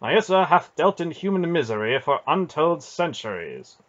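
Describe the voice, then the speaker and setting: A man's voice: fairly high pitch, sing-song, normal speed, fairly bright tone, voice pushed up. A man voicing a proud, honor-bound young knight who speaks in formal, archaic words with fiery indignation, in a storybook reading. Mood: indignant. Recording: dull lo-fi audio.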